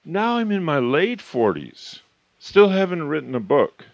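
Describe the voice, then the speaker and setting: A man in his fifties or older giving a motivational talk as a coach and author, emphatic and slowing down hard on key points. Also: on the high side, big pitch swings, vocal fry, no room sound. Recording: slightly muffled, dry recording.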